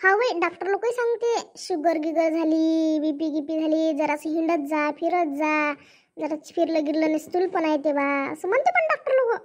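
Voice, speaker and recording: Cartoon older farm woman, played by a woman: extremely high pitch, Varhadi Marathi accent, bossy, chatty, rustic. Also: moderate pace. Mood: matter-of-fact. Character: bossy, hardworking older village woman, farm labour leader. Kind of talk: comic cartoon dialogue.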